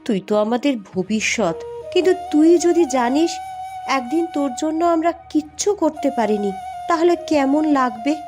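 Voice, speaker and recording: A woman's voice: high-pitched, lively intonation, moderate pace. A woman voicing a poor, worried village wife and mother in a cartoon melodrama, plaintive in manner. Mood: sorrowful. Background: background music.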